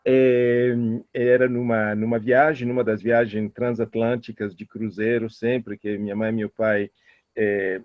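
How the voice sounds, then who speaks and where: A middle-aged man from Italy speaking with an Italian accent as a guest expert, telling personal stories quickly with many hesitations and restarts. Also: lowish voice, no room sound. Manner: fast, animated talker with many hesitations and restarts. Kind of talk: interview answers, personal storytelling. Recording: muffled lo-fi audio.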